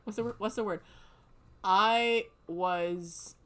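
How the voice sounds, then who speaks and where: A woman from Hawaii co-hosting a casual podcast chat, blunt and quick to joke with loud laughs. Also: medium pitch. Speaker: podcast co-host.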